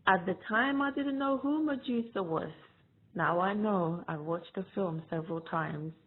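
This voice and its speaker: A woman from Ghana giving a reflective, measured personal testimony about her own past. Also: mid-range voice. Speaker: storyteller sharing personal experience. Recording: tinny phone-like line.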